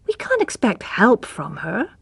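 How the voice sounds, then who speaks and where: Woman reading a soft-spoken young country girl, gentle, worried, lively pitch, dry close sound. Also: measured pace, vocal fry, husky, dry recording, heavily acted. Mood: subdued. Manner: soft, subdued replies.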